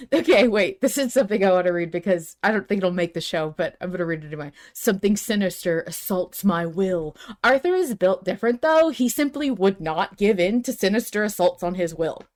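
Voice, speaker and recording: A woman with a medium-pitched voice talks as a podcast host in a loose fan discussion. She is animated and emphatic, circling back over her own phrases to drive them home. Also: a little fast. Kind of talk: casual fan discussion of an audio drama.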